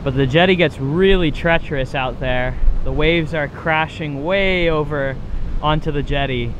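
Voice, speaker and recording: A man's voice: a little high, fairly bright tone. A young man vlogging casually to camera outdoors on a coast, upbeat and chatty, talking to his viewers as guys. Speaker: fishing vlogger. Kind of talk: casual vlog talk to camera. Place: outdoors on a windy coast by crashing surf. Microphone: camera mic, held at arm's length or set on the ground. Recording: outdoor recording with clear wind and surf noise. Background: wind and waves.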